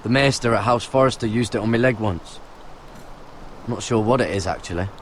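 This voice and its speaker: An actor playing a steady young man-at-arms in a video game, curt, dry and wearily patient. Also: on the low side, fairly quick, a little hiss or hum, lightly acted. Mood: terse, patient. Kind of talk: dramatic video game acting.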